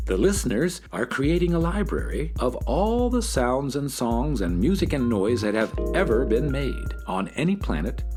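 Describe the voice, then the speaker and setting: Male narrator, scripted children's audio adventure; lively, varied pitch, addresses kids directly, light music behind. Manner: addresses young listeners directly.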